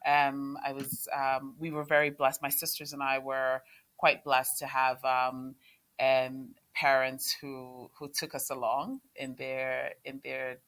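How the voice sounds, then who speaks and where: Low voiced woman guest from kenya, talks slow, lots of ums and restarts. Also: fairly bright tone. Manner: hesitant, many ums and restarts. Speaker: guest, health organization chief of staff. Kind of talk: conversational interview answers. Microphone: remote call.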